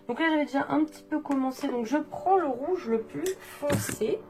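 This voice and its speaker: French woman, congested with a cold, hesitant and chatty tutorial voice, clear guitar music underneath. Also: high-pitched, normal speed. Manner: chatty, hesitant, frequent fillers and restarts. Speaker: coloring tutorial artist. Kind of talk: relaxed step-by-step drawing tutorial. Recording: with guitar music playing behind.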